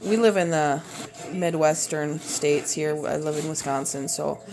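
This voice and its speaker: Minnesota-accented mom chatting while cooking. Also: female voice, low-pitched, a little slow, a little mellow, a little hiss or hum, low-quality recording. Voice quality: rambling, hesitant with many fillers. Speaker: homeschooling mom and cooking vlogger. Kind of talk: casual cooking video. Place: home kitchen. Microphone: phone propped up on the counter.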